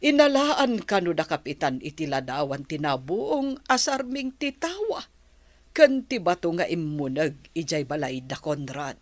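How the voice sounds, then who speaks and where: A woman's voice: deep voice, big pitch swings, unhurried, no echo, exaggerated voice. A woman playing a worried, fretful mother of an only child in a radio drama. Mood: firm concern.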